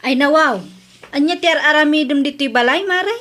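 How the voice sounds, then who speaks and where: Woman acting a shrill, bright, brash Ilocano mother. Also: a little fast. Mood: combative. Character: brash, defensive mother, stubborn debtor, quick to argue. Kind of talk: Ilocano comedy audio drama acting.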